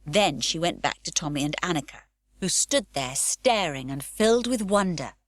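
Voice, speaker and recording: A woman narrating a children's storybook audiobook in a warm, lively storytelling way. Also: low voice, moderate pace, no room sound.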